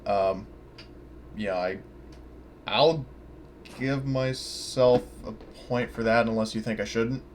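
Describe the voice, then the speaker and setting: A man's voice: medium pitch, steady tone, takes it slowly, pauses often. A man chatting casually as a player in a tabletop role-playing session, tentative and often checking details over a voice call. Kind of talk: casual game-session chat. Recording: noisy voice-call audio.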